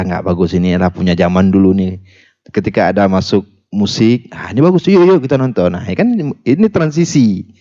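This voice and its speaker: A man from Aceh speaking as a guest on a radio discussion, animated, with many fillers and restarts and emphatic rises. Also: mid-range voice. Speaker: talk show guest. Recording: dull lo-fi radio broadcast sound.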